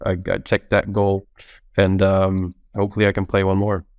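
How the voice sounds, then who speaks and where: Male guest, thirties, resonant and monotone, unhurried and hesitant. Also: sits low, dry recording. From Belgium. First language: not English. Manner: relaxed, hesitant with many ums. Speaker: guest golfer. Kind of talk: casual podcast interview.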